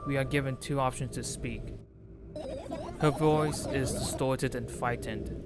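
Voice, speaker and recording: A man's voice: medium pitch, fairly even intonation, unhurried. A man reading a scripted video essay in an informal, opinionated way, with casual asides. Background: background music.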